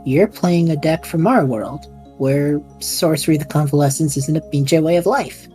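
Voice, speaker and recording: A woman's voice: sits low, moderate pace, dark tone, faint music behind, close to an everyday voice. A woman playing a bewildered but supportive young man in an audio drama, casual, hesitant and wry.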